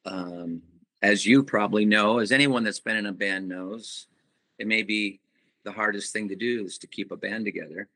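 A middle-aged man, a band singer, giving reflective interview answers and pausing often to find his words. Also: on the low side, pushed and bright. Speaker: musician guest, band singer.

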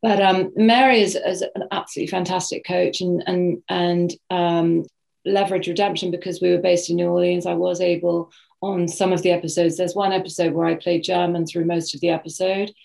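Thoughtful British-accented woman, low voice. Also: level tone, a little fast, vocal fry, darkish tone. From New Zealand. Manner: thoughtful, searching for words. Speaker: actress guest. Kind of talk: reflective interview answers.

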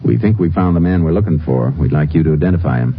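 Deep-voiced, firm Texas Ranger. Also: male voice, lively intonation, a little hiss or hum. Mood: calm, measured. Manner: terse, matter-of-fact, unhurried authority. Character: calm, firm Texas Ranger investigator, grown man. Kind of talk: 1950s radio crime drama acting and first-person narration. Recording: muffled old-time radio recording, music behind.

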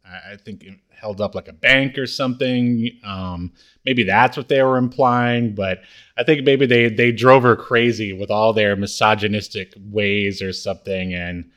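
A man's voice: lowish voice, fairly even intonation, normal speed, a bit bright. Laid-back man chatting on a podcast. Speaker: podcast co-host. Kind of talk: casual chat, recapping a TV show.

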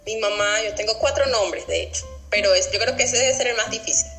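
A woman from Venezuela, a sociologist guest, answering thoughtfully with pauses and easy laughs. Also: on the high side, fairly bright tone, soft background music. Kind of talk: casual interview answers.

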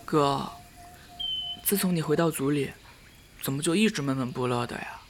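A man's voice: on the high side, moderate pace, a little hiss or hum, close to an everyday voice. A man playing an anxious, caring younger fox-spirit sibling in an ancient-China audio drama. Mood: concerned.

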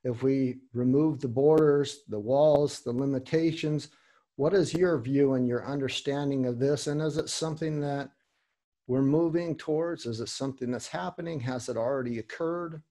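A man's voice: mid-range voice, moderate pace, warm tone. A man hosting a podcast, asking long, winding questions with fillers. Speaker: podcast host. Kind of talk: interview questions.

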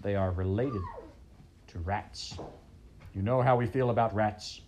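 A man reading aloud, voicing a stern, pompous king who is quick to anger and shouts his commands. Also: lowish voice, slight background noise, natural voice.

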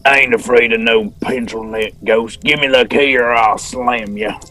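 A man putting on a gruff blustering wrestler. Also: medium pitch, steady tone, moderate pace, sharp tone, faint music behind, lightly acted. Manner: gruff trash talk. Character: tough, blustering male pro wrestler.

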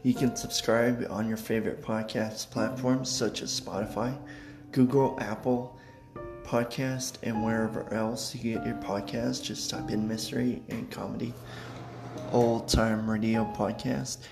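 A man's voice: on the low side, level tone, unhurried, gravelly, roomy sound. A man giving a casual podcast outro as host, with guitar music.

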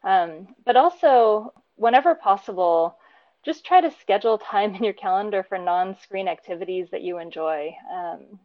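A woman in her thirties, raised between the US and Japan, answering interview questions in a thoughtful, casual way, often pausing with "um" and laughing lightly. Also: medium pitch, expressive, no echo, narrow, tinny audio. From born in Japan, raised between the US and Japan. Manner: warm, thoughtful, frequent ums and light laughs. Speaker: interview guest, program teacher.

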